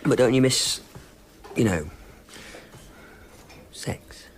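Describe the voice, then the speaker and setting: An actor playing a shy, awkward young film crew member, hesitant and diffident, in a naturalistic radio drama. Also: male voice, medium pitch, expressive, very slow, breathy edge, full character voice. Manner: hesitant, diffident, mumbling agreement.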